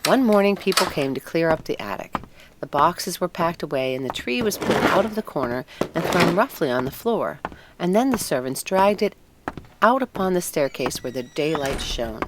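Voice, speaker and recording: A woman narrating a scripted storybook tale in an expressive, deliberate storytelling manner. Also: low voice, very noisy. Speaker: audiobook narrator.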